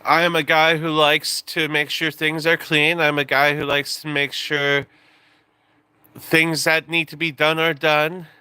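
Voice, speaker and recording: A man's voice: fairly high pitch, flat delivery, measured pace, bright, forceful tone, clear, resonant tone, dry recording. A man in his late twenties, chatting casually on a live stream in a rambling, joking way that often breaks off and restarts. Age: 20s. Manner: rambling, self-interrupting, joking.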